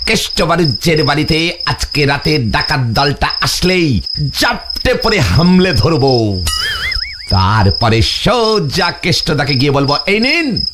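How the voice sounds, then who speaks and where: A man's voice: a little high, very noisy. A man voicing a boastful, scheming comic club man in a cartoon, speaking pompously with a Bengali accent and English words thrown in. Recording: music behind the voice.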